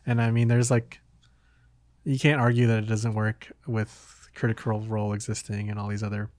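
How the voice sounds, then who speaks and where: A man's voice: on the low side, little pitch movement, moderate pace, darkish tone, no room sound. A man hosting a casual podcast, talking in a hesitant way as if thinking aloud. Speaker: podcast host.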